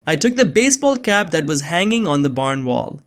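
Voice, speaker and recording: A man playing a nerdy, anxious young man on the run, narrating a suspense story in a measured, earnest way. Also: fairly high pitch, sing-song. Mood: tense, measured. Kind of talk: first-person scripted narration of suspense fiction. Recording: music behind the voice.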